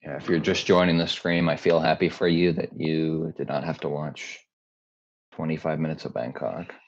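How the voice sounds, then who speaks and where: Very deep-voiced man casually commentating. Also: little pitch movement, moderate pace, dull audio. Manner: laid-back, thinking aloud with fillers. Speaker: tournament commentator. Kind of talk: casual live game commentary. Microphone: home streaming mic, lower quality.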